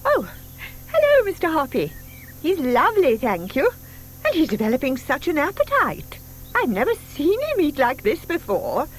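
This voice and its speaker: An actress playing a sweet, excitable older British lady who dotes on her pet, cooing and gushing in an audio drama. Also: high-pitched, moderate pace. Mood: cheerful, proud. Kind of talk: audio theatre acting. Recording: faint noise, 1990s tape dramatisation.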